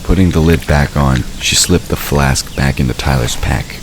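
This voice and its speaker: A man with an extremely deep, low voice narrates a fantasy story. He reads scripted prose as the narrator of an audio drama, with faint ambient noise behind him. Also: normal speed.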